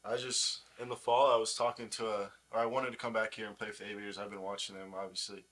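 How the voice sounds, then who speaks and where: Young guy athlete chatting in an interview, kinda low voice, pretty monotone. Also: fast, low-quality recording. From United States. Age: young adult. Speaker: baseball player, pitcher, interview guest. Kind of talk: casual interview chat.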